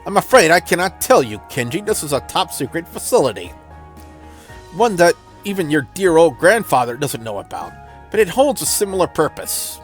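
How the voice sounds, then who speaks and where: A man's voice: on the high side, big pitch swings, moderate pace, faint music behind. A man voicing a cold, threatening young woman captor in a game, curt and formal.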